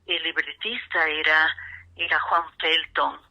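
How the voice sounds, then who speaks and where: A woman in her seventies with an Ecuadorian highland accent, reminiscing as an interview guest in an emphatic, repetitive way. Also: steady tone, unhurried, lo-fi sound. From Ecuador, grew up in Riobamba. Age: 70s. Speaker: interview guest, veteran radio actress.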